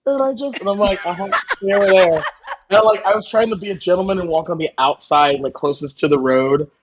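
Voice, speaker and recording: Higher-voiced man, animated casual storyteller. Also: brisk, background noise. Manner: animated, acts out quoted remarks. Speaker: chef and podcast guest.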